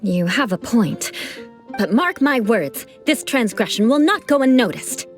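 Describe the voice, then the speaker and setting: A woman's voice: on the high side, big pitch swings, measured pace, soft background music. An actress playing a proud young female knight of an aristocratic clan, who speaks in a formal, aristocratic manner. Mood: composed.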